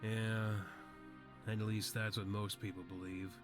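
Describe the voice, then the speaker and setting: A man as a low, weary old slum veteran. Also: level tone, unhurried, lightly acted. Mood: weary, matter-of-fact. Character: frail, resigned, sickly old male slum veteran. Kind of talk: video game voice acting. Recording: game music behind the voice.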